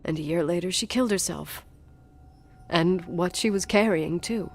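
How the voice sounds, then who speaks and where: A woman's voice: lowish voice, moderate pace. An actress playing a strong-willed young woman in a video game, guarded and dryly teasing, haunted by her past. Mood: wistful, heavy. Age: young adult. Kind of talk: naturalistic video game voice acting.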